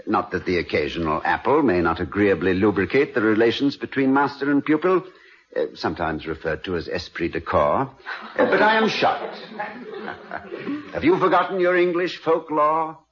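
An actor playing a witty, courtly American college president in a radio drama, playfully pompous and eloquent. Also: male voice, medium pitch, animated, moderate pace, crowd noise, natural voice. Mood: amused, teasing. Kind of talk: 1950s radio drama acting. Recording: dull old radio broadcast, music behind.

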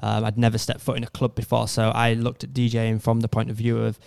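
Gravelly-voiced young man hosting a podcast. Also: medium pitch, completely flat delivery, quick pace, darkish tone, dry recording. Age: 20s. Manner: upbeat, enthusiastic presenter. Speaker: podcast host. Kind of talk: casual chat.

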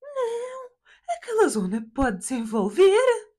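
A firm, business-minded older mother played by a woman, pitched well up. She objects emphatically in drawn-out, colloquial phrases, as part of an informal family story. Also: huge pitch swings, dark tone, full character voice. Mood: insistent.

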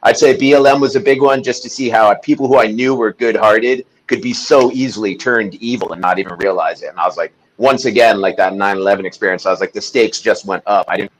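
A man's voice: fairly low pitch, fast talker, hardly any pauses, slight background noise, rough recording. A man, a podcast guest, talking in a casual interview chat, intense and emphatic as he tells his story.

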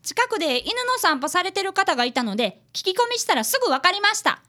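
A woman's voice: very high-pitched, big pitch swings, fast, bright, forceful tone, full character voice. A woman playing an earnest, polite grade-school boy apprentice detective with a Kansai accent in a radio drama, both narrating and in dialogue. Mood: earnest reporting. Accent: Kansai Japanese.

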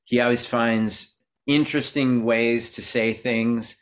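A man's voice: medium pitch, fairly even intonation, takes it slowly. A middle-aged man from Minnesota, a musician guest in an interview, talking casually and rambling with many fillers and restarts. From Minnesota, USA.

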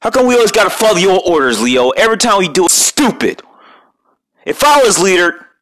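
Guy putting on a loud gruff new york turtle, hot-headed, pitch jumps all over. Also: very animated, normal speed, no room sound. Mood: loud, brash. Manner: gruff, tough-talking, loud. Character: brash hot-headed young male turtle ninja. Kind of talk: fan voice acting of a cartoon.

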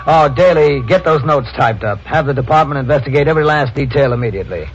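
An actor playing a matter-of-fact police detective in a 1940s radio drama. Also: male voice, medium pitch, lively intonation, quick pace, slightly rough. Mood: businesslike. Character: gruff, weary police detective. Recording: lo-fi old radio recording with faint hiss.

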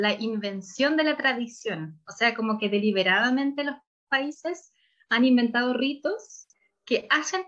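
A woman's voice: high voice, a bit bright, muffled recording. A woman, a researcher answering interview questions in a thoughtful, self-correcting way. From Chile. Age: 40s. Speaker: researcher guest.

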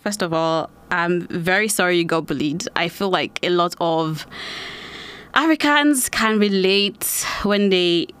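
A woman's voice: fairly low pitch, unhurried, a bit bright, smooth. A young woman from Nigeria with a hybrid Nigerian and British-leaning accent, hosting a casual solo podcast, chatty and laughing often. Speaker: podcast host.

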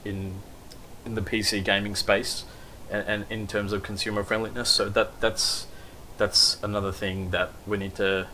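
A man's voice: fairly low pitch, little pitch movement, moderate pace, pushed and bright, slight background noise, poor audio. A man giving a casual, rambling recap of news, with lots of basically and kind of.

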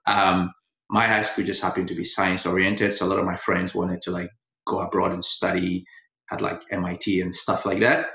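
A man from Ghana with a fairly low voice tells his story in a relaxed, easy way, laughing as he goes. The recording is roomy and echoey, with a dull, lo-fi sound. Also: normal speed. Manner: relaxed, laughs while telling stories. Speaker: guest, in-house lawyer. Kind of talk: interview storytelling.

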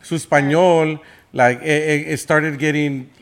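A man's voice: fairly high pitch, fairly even intonation, unhurried, a crowd in the background. A man with a Mexican-American accent chatting casually, slangy and teasing, slipping between English and Spanish. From United States, Mexican parents.

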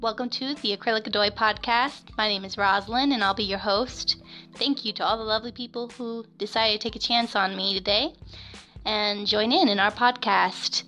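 A woman in her twenties, originally from Florida, hosting a casual personal podcast alone. She has a girlish voice and talks in a nervous, halting way, filling pauses with ums and small laughs. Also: steady tone, faint music behind. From West Florida, United States. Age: 20s. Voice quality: high, girlish voice. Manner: nervous and halting, with many fillers and soft laughs. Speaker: podcast host.

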